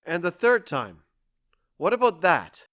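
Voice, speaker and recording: A man's voice: sits high, big pitch swings, unhurried, fairly bright tone, exaggerated voice. A man playing a stoic, wounded lover in a stage-style audio drama, speaking in a formal, restrained and dryly ironic manner. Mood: cold, hurt. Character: stoic, wounded, sardonic man being left by love.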